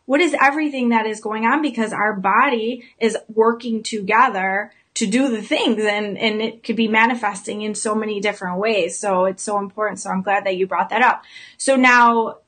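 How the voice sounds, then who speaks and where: A woman's voice: mid-range voice, steady tone, normal speed, fairly bright tone. A woman hosting a podcast interview, eager and affirming, stressing words as she talks. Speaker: podcast host. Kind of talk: casual interview chat.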